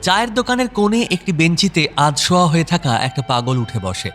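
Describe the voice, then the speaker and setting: A man's voice: on the high side, sing-song, brisk, a bit bright, soft background music. Man narrating a story in long reverb. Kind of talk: dramatic scripted narration.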